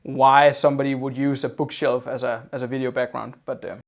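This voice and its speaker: A man's voice: medium pitch, little pitch movement, brisk, gravelly. A man hosting a casual interview over a video call, often repeating his opening words before talking on fluently. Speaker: podcast host.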